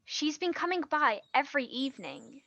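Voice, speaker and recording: An actress playing an earnest, tender younger woman in a stage play, speaking haltingly and trailing off. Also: high-pitched, sing-song, a bit bright, no room sound, heavily acted. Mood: earnest, eager. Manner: halting, trailing off mid-sentence. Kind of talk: stage play acting, quiet two-hander.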